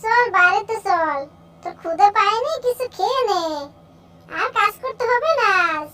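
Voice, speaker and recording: A woman's voice: extremely high pitch, expressive, moderate pace, pushed and bright, heavily acted. A woman voicing a doting, protective village mother in a cartoon, coaxing and fussing. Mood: coaxing, caring. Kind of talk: amateur cartoon voice acting.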